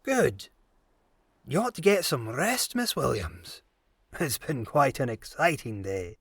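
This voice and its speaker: A man playing a bearded pirate captain in an audio drama, calm, formal and quietly menacing, with a gravelly, husky voice. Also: medium pitch, dry recording, studio quality, heavily acted. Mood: calm menace. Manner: measured, formal, quietly commanding.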